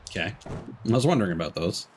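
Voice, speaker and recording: A man's voice: low voice, expressive, fast, background noise. A man giving casual, informal commentary on a gaming stream, with some swearing. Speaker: live streamer.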